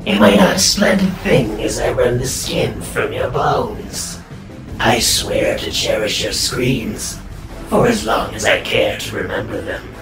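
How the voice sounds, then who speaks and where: An actor playing a sadistic, gloating nightmare monster in a horror audio drama, hissing theatrically in a menacing way. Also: male voice, mid-range voice, expressive, unhurried. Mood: gloating menace. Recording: echoing distant voice with music behind. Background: music.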